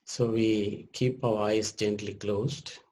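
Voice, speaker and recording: A man leading a guided meditation as a teacher, speaking gently in short measured phrases with long pauses. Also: fairly low pitch, flat delivery, low-quality recording.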